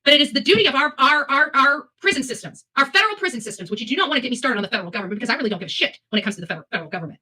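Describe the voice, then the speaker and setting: Woman doing opinionated live commentary, talks fast, bright forceful voice, blunt and sweary. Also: high voice, expressive, breathy edge. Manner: blunt, emphatic, with repeated phrases and swearing. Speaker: host of a live commentary show.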